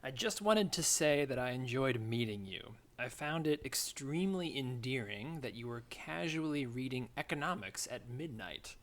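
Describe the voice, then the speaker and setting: A man's voice: medium pitch, expressive, moderate pace, hardly any pauses, a bit bright. A man co-hosting a comedy podcast, chatting casually and reading out ads.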